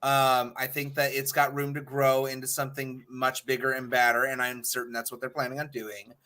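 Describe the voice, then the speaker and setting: A man's voice: mid-range voice, completely flat delivery, fairly quick, sharp tone. An American man giving emphatic, opinionated takes in casual panel chat, swearing freely. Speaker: podcast panel guest.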